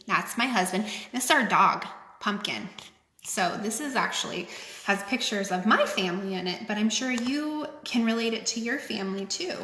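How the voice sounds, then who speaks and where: A woman reading a picture book aloud to children, with expressive, simple phrasing and chatty asides. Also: mid-range voice. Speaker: children's author reading her own book. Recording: echoey, reverberant room.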